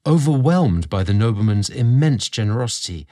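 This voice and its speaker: Low-voiced man narrating a romance. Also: very animated, dark tone. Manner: dramatic, deliberate, earnest. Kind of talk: scripted romantic story narration.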